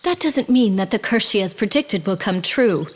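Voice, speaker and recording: An actress playing a sorrowful, dignified young royal in a fantasy game, earnest and formal. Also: female voice, medium pitch, animated, normal speed, long reverb tail, lightly acted. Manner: earnest, formal, archaic phrasing. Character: sorrowful, dignified young royal woman, gentle but resolute. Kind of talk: theatrical fantasy game drama.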